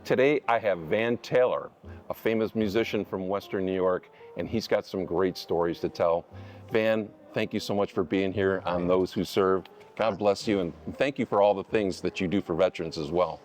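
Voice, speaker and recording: Male host of a local TV program, low voice, friendly and earnest interviewer. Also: moderate pace, darkish tone, soft background music. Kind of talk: TV interview hosting.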